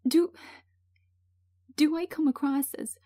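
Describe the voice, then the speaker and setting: A woman reading a script as a traumatised, anxious woman on edge, agitated and halting as she repeats herself. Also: sits high, steady tone, dark tone, no echo, studio quality, pitched above the performer's own voice. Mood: agitated, despairing.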